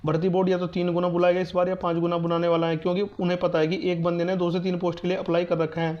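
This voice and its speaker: A man's voice: sits high, completely flat delivery, rapid-fire. A man giving an informal exam update to students, repeating himself to reassure and instruct them. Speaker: exam news and guidance YouTuber. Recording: dull, lo-fi muffled sound.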